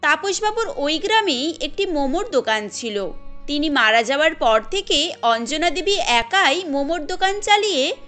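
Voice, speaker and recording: A woman with a high, bright voice tells a scripted cartoon story as narrator. Faint music plays behind her. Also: lively intonation, normal speed.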